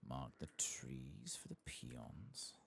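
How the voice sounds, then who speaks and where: A soft-spoken man doing ASMR game commentary, murmuring and musing aloud to himself at a close microphone. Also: very deep voice, very animated, slow, vocal fry, a little hiss or hum. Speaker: host of an ASMR game playthrough channel.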